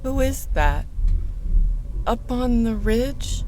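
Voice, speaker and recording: A woman's voice: a little high, lively intonation, slow pace, warm tone, slight background noise. A woman playing an uneasy, curious explorer on an eerie island in an audio drama. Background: eerie island ambience.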